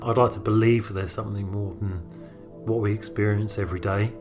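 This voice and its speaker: A man's voice: fairly low pitch, fairly even intonation, brisk, darkish tone, faint music behind. A man speaking as a writer and storyteller, telling a personal account in an informal, unscripted style.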